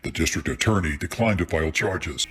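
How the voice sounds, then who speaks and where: A man's voice: rumbling low, fairly quick, fairly bright tone, breathy edge. A man narrating a scripted television news report as a reporter.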